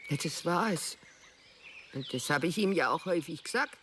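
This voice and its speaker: An actress playing an agitated, evasive old lady in a radio drama, defensive and halting in her way of talking. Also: fairly low pitch, animated, fairly bright tone. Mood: defensive, reluctant. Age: older. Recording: faint outdoor nature noise.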